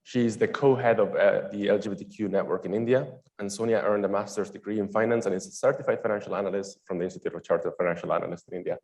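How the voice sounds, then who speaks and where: A young man moderating a conference panel, reading out guest introductions in a chatty, lively way with small laughs. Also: on the low side, fast talker, hardly any pauses.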